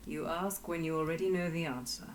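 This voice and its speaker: An actress playing a composed, businesslike investigator in a fantasy game, direct in manner. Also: female voice, low-pitched, steady tone, a little fast, lightly acted. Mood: composed, knowing. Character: composed, businesslike woman colleague of an inspector. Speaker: game character. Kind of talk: scripted fantasy game dialogue. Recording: light background ambience and noise.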